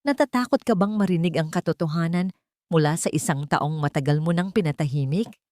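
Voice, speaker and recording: A woman voicing a wronged adult confronting a parent, bitter, deliberate and sarcastic, in an audio love story. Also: low-pitched, darkish tone, no echo, clean studio sound, lightly acted. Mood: bitter sarcasm. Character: wronged adult child, bitter, coldly accusing their mother.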